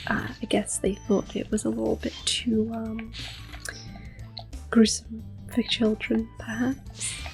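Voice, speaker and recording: A woman hosting soft-spoken ASMR gameplay commentary, whispering gently as she plays. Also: a little high, little pitch movement, takes it slowly, creaky, low-quality recording. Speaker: ASMR gaming host. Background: game music.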